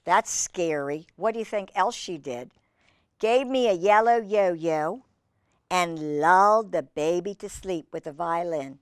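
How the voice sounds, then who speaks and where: A woman hosting a children's storytime, reading aloud with drawn-out emphasis and chatting in asides to young listeners. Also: lowish voice, clear, resonant tone, no echo, studio quality. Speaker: host reading picture books to children.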